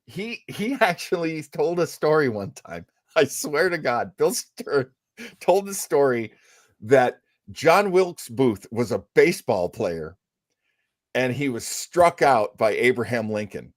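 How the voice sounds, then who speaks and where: A man's voice: medium pitch, very animated, slow pace, dry recording, clean studio sound. A man hosting a show, chatting casually and introducing old radio programs with jokey asides. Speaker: host.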